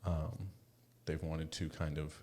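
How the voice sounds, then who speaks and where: A man with a deep, low-pitched voice co-hosts a podcast. He talks informally, in an encouraging, conversational way. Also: flat delivery, takes it slowly, a little mellow. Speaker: podcast co-host. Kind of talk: casual chat.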